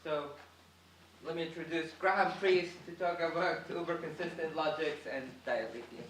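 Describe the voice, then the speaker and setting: A man's voice: on the high side, fairly bright tone. Man introducing a speaker in an echoey hall. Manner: polite, with brief pauses and fillers. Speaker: session moderator. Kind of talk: formal introduction. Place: large lecture hall. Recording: echoey hall, distant mic, background noise.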